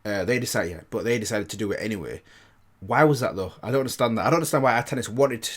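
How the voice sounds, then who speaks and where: A man's voice: fairly low pitch, fast talker. A man hosting a reaction video, commenting casually and thinking aloud, often repeating his phrases. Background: faint game music.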